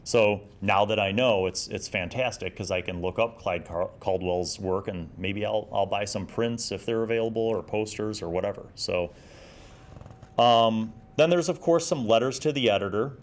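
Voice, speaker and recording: A man in his forties giving a casual, nostalgic review in a conversational, halting way. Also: on the low side. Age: 40s. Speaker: host of a gaming magazine review series.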